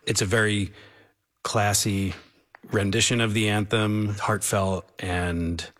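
A man's voice: on the low side, flat delivery, slow, a bit bright. A man speaking as a music show host, articulate and encouraging as he interviews and announces. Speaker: radio show host and pianist.

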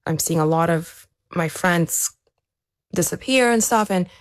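A woman's voice: on the low side, measured pace, dry recording. A woman who is an athlete, giving a reflective personal account as an interview guest. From born in Ukraine, raised there to age seven, then America.

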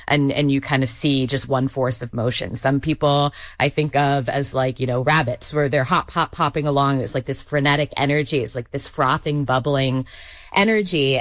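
A woman's voice: a bass voice, no room sound, studio quality. A woman who directs storytellers, talking earnestly and thoughtfully on a panel. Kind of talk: panel discussion.

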